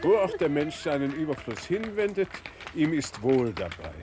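A man's voice: a little high, very animated, normal speed, noisy background. A man acting in a German radio play as a cautious, talkative older princely uncle, courtly and given to philosophising. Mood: courtly, reflective.

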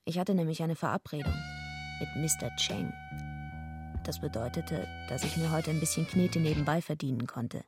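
A woman's voice: sits low, lively intonation, normal speed, over music. An actress playing a tough, streetwise young woman, narrating a radio drama in a wry, matter-of-fact way.

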